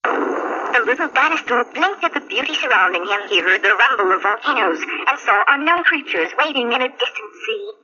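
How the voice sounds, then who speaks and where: A woman's voice: a little high, lively intonation, normal speed, bright, forceful tone, a little hiss or hum. A woman narrating a scripted children's story, on a muffled 1970s talking toy recording.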